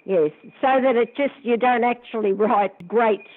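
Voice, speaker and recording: Australian woman, fairly high voice, patient, polite, business call, tinny telephone audio. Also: unhurried. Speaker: company phone receptionist. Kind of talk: business call, spelling out details. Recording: thin telephone line.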